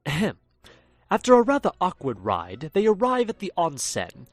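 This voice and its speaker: Fussy guy narrating, super swoopy pitch, dry close mic, bit of music. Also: huge pitch swings, moderate pace, no echo. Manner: fussy, indignant storyteller. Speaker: narrator of the audio drama series. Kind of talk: scripted narration.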